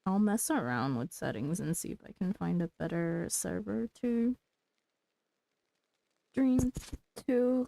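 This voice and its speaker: A woman's voice: fairly low pitch, vocal fry, mellow, no echo, poor audio. A woman giving casual livestream commentary on a video game, muttering to herself as she plays.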